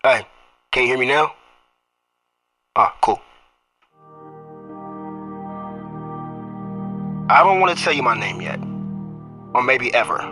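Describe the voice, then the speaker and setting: A man's voice: medium pitch, big pitch swings, normal speed, sharp tone, soft background music. Young male athlete, guarded, candid, hesitant conversational confession, audio drama. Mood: guarded, earnest.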